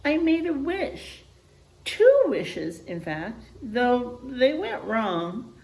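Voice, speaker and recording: A woman voicing a tiny, timid male warthog from a storybook, squeaky, earnest and apologetic. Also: big pitch swings, very slow, a little mellow, exaggerated voice, rough recording. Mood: regretful, earnest. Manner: earnest, pleading.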